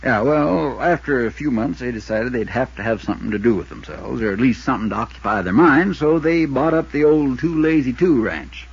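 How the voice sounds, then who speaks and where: Casting: long-winded, folksy insurance agent for 1950s radio drama; husky, muffled vintage sound. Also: male voice, mid-range voice. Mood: wry, explanatory. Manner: folksy, drawn-out storytelling.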